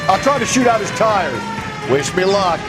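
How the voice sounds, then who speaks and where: A man's voice: on the low side, moderate pace, natural voice. A man playing a cynical, hot-headed American homicide detective in a 1940s video game, blunt and slangy. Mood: urgent, shouting. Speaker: police detective. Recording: loud music and car engine behind. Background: loud music, vehicle noise.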